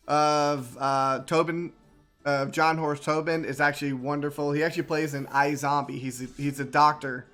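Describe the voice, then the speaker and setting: A man with a somewhat high voice gives casual game commentary as a streamer. He rambles enthusiastically and repeats himself, with faint music underneath. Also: fairly bright tone.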